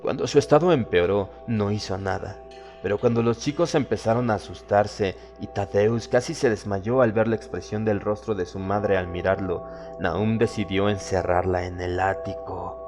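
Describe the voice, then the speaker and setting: Low-voiced man narrating horror over music. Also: moderate pace. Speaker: audiobook narrator. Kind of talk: dramatic horror audiobook narration. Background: background music.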